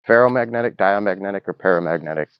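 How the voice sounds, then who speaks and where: A man's voice: low voice, fast, clear, resonant tone, no room sound. A man explaining his position on an online call, curt, insistent and technical. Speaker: debater on a call. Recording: dry, muffled call audio.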